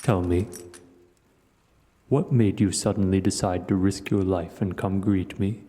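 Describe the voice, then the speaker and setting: A man voicing a huge, ancient male dragon in an audio roleplay, aloof yet hospitable, speaking in a slow, deliberate, musing way. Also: sits low, steady tone, mellow. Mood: musing, stern. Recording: cavernous echoey reverb.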